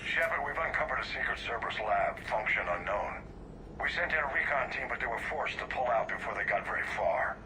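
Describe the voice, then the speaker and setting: An actor playing a grave, authoritative admiral giving a mission briefing in a sci-fi video game. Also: male voice, mid-range voice, lively intonation, brisk, natural voice. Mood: grave, commanding. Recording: noisy radio-style comm link.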